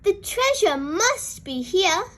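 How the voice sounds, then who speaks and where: An eager little girl pig from a picture book, acted out by a young reader. The voice is very high and bright, swooping into exclamations with childlike keenness. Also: very animated, slow, heavily acted. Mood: excited.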